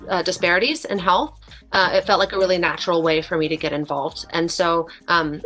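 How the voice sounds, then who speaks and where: A woman's voice: on the low side, fairly bright tone, poor audio. A woman in her thirties giving informal, explanatory answers as an interview guest on a video call. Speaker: interview guest, clinical research coordinator. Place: indoor room at home, video call. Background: music.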